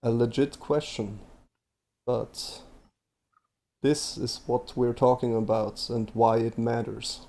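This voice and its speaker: Man, Swiss German accent, scripted presentation, measured, mellow, dark tone. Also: medium pitch, steady tone. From Switzerland. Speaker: video presenter.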